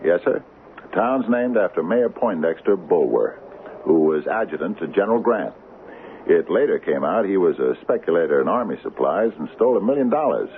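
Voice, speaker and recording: Folksy small-town mayor, very low, gravelly voice, rambling and self-correcting, old radio drama. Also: male voice, sing-song, normal speed. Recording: muffled, band-limited radio broadcast, faint noise.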